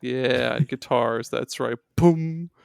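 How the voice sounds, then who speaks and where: A man with a gravelly, fry-tinged voice rambles through gaming memories on a podcast, peppering his talk with fillers and curse words. He sits close to the mic in a dry, dead room with no echo. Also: medium pitch, takes it slowly. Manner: rambling, swears a lot, many fillers. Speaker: podcast guest. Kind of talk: casual gaming chat.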